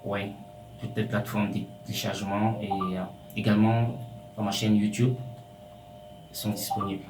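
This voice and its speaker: A man, a guest singer answering softly and slowly. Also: on the low side, little pitch movement, recorded from a distance. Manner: slow, soft replies. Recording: echoey room with loud guitar.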